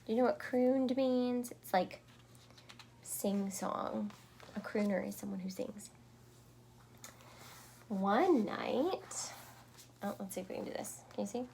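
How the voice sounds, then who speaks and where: A woman reading a picture book aloud to children, expressive, with deliberate phrasing, dramatic pauses and small explanatory asides. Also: medium pitch, gravelly, slight background noise.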